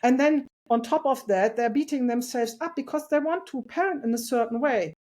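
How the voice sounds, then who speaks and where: A woman talking as a podcast guest about parenting, earnest and reflective, with a loud voice and light laughs. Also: sits high, normal speed. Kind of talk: casual interview conversation.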